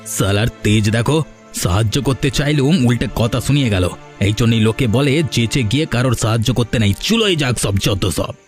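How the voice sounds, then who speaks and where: A man's voice: mid-range voice, expressive, normal speed, soft background music, close to an everyday voice. A man voicing a grumbling, dismissive villager with a grudge in a moral story cartoon. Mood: grumbling, offended.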